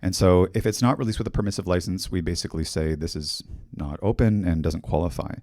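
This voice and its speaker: A middle-aged man with a Canadian accent giving a technical conference talk in a fairly monotone, steady voice, speaking into a handheld mic on a hall stage. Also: low-pitched, quick pace, clean studio sound. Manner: monotone, steady explanatory delivery. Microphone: handheld microphone.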